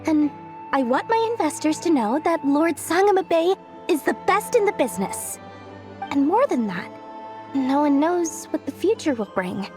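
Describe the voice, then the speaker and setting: High, lively, self-assured businesswoman. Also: normal speed, faint music behind. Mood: earnest, confident. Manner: breezy, assertive, self-assured, matter-of-fact. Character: brisk, proud businesswoman and investor-minded merchant lady. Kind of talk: English video game dub acting.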